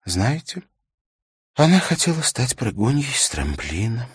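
A man's voice: mid-range voice, sing-song, a little slow, husky, no echo, clean studio sound, pitched above the performer's own voice, full character voice. A man voicing a grieving, ailing older woman in an audiobook, bitter, tearful and pleading. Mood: wistful grief.

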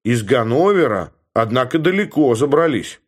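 A man's voice: mid-range voice, animated, normal speed, close to an everyday voice. A man voicing a chatty middle-aged hunter. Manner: friendly, curious questioning.

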